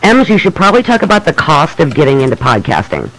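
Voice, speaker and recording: A man's voice: on the high side, wildly swooping pitch, fast talker, a bit bright, slight background noise, poor audio. A man speaking as a podcaster on a panel, explaining things informally.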